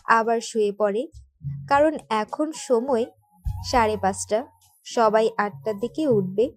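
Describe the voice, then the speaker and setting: A woman's voice: fairly high pitch, darkish tone. A woman reading scripted narration for a romantic story. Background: background music.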